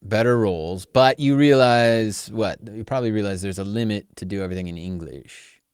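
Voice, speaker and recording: A middle-aged man with a fairly low voice, recorded close and dry with no room sound. He chats like a podcast host, informal and given to banter. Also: fairly quick, darkish tone, smooth, dry recording. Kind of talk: casual interview chat.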